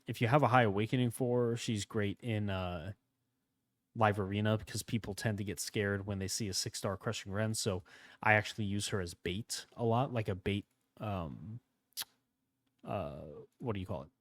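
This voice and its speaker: Guy hosting a gaming channel, a bit hoarse, rambling along as he plays, lowish voice, dry close sound. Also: moderate pace, dry recording. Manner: rambling, relaxed, thinking aloud. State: slightly hoarse from a starting cold. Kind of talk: casual gameplay commentary.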